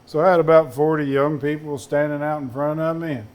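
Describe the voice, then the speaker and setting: A man's voice: fairly high pitch, steady tone, a little fast, a little mellow. A man with a Southern accent, a distiller on a panel, telling folksy, rambling anecdotes. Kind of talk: casual storytelling on a panel.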